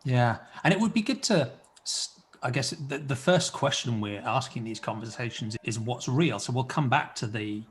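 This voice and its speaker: A man's voice: medium pitch, expressive, normal speed, hardly any pauses. A man hosting an interview podcast, asking encouraging questions and rephrasing them at length. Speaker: podcast host.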